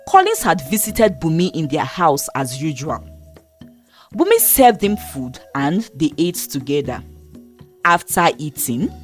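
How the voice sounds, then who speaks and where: A woman narrating a moral folktale in a steady, expressive storytelling manner. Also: deep voice.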